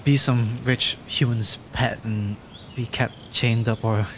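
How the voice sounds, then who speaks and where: Man voicing a slow, stammering, timid young dragon. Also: mid-range voice, fairly even intonation, fairly bright tone, a little hiss or hum. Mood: frightened, pleading. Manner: stammering, hesitant, rambling, apologetic. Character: nervous, adorkable young male dragon, injured and frightened. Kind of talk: ASMR roleplay audio drama.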